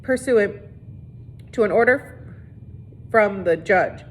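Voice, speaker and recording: A woman reading a storybook, voicing a firm, protective woman lawyer who advises with urgency. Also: slow. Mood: urgent. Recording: noisy recording with low rumble.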